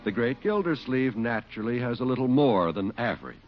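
A man's voice: mid-range voice, expressive, brisk. An American man announcing on 1940s radio, reading scripted copy in a polished, emphatic way. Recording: muffled 1940s radio, music behind.

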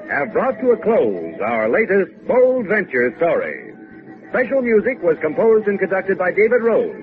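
A man working as a radio announcer and sponsor pitchman, reading scripted copy in 1950s style. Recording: tinny vintage radio, music behind.

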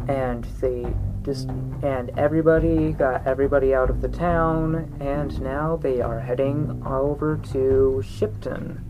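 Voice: mid-range voice, steady tone, unhurried, poor audio. A narrator giving an informal recap of a tabletop game story. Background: music under the recap.

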